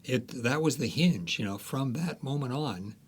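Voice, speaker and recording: A man's voice: medium pitch, animated, moderate pace, breathy edge. A man, an author guest in a podcast interview, talking casually and hesitantly with many restarts.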